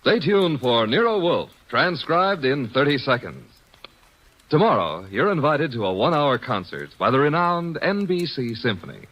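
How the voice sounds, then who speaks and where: A man's voice: mid-range voice, moderate pace, dull audio. A man announcing the credits and program notes in a formal 1940s radio style. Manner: formal, crisp and lively. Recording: old radio broadcast with music.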